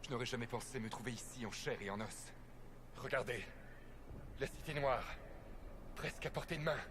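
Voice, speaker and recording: An actor playing a scholarly, calm elven mage in a video game, speaking in a measured, formal way. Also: male voice, medium pitch, natural voice. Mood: awed. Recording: game audio under clear ambient noise.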